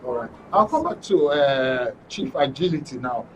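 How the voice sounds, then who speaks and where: A man with a Nigerian accent hosts a talk programme at a medium pitch. He is courteous and wordy, feeling his way through questions. He sits some way from the mic, with faint noise around him. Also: lively intonation, normal speed, recorded from a distance, highs cut off. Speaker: talk show host. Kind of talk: live studio interview.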